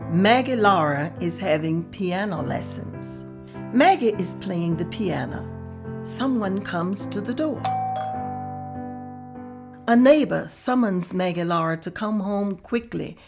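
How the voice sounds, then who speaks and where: A woman narrating the stage directions at a table read in a plain, steady way. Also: mid-range voice, slow pace, vocal fry, music in the background.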